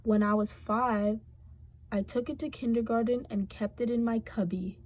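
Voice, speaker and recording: A woman's voice: fairly high pitch, steady tone, normal speed, a little mellow, close to an everyday voice. A woman voicing a firm but caring mother in a children's storybook, motherly and emphatic. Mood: gentle, reminiscing.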